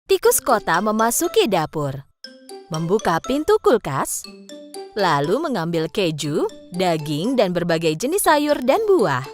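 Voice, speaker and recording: A woman's voice: mid-range voice, sing-song, unhurried. A woman narrating a scripted children's story. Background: music.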